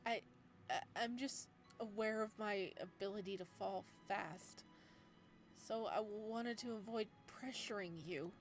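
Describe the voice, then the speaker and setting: A woman voicing an ancient male god from a visual novel, gentle and soft-spoken, stammering when flustered. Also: mid-range voice, unhurried, faint music behind, lightly acted. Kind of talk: visual novel dialogue read aloud.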